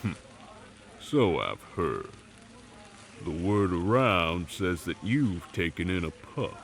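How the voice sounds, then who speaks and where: An actor playing a gruff, hard-nosed swamp man with a temper, curt, grumbling and folksy, in an audio roleplay. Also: deep voice, big pitch swings, takes it slowly, full character voice. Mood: gruff, impatient. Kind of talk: audio roleplay acting, dramatic dialogue.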